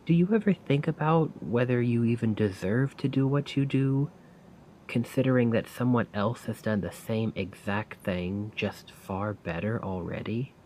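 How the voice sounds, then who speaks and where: A man playing a weary, self-doubting failed poet in a soft-spoken, rambling ASMR roleplay monologue. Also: medium pitch, slight background noise, close to an everyday voice, low-quality recording. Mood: despairing, self-doubting. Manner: slow, brooding, rambling. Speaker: roleplay audio performer.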